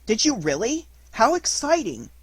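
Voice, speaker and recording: Guy doing an excitable kind little boy in a kids story, voice lifted high, lively. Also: moderate pace, no echo, full character voice. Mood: sympathetic, eager.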